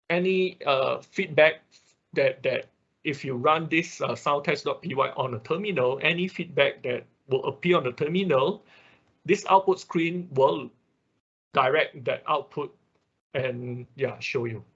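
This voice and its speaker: A man's voice: fairly high pitch, measured pace, a bit bright, dull audio. A man giving an informal step-by-step tutorial as instructor of an online class, often checking in as he explains. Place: online class, screen-share voice-over.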